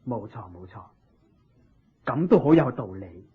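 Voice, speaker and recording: An actor playing a humble, eager king in a Cantonese radio drama, with a husky voice, a Hong Kong accent and deferential, expressive exclamations. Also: medium pitch, a little slow. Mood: curious. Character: humble, eager king seeking Buddhist teaching. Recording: dull lo-fi radio drama recording.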